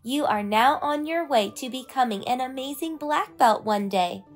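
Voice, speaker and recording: A woman's voice: high-pitched, lively intonation, normal speed, soft background music. A woman voicing an encouraging, upbeat male martial arts instructor in a children's storybook. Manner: encouraging, praising. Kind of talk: children's storybook read-aloud.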